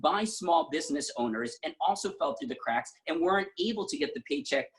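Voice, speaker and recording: A man's voice: a little high, expressive, fairly quick. A man answering as a congressional candidate at a political forum, emphatic and point by point.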